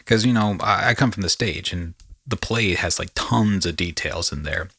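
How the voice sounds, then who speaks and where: Low, bright-voiced man chatting casually. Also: moderate pace, creaky, slightly rough, no room sound, studio quality. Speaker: podcast host. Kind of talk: casual podcast conversation.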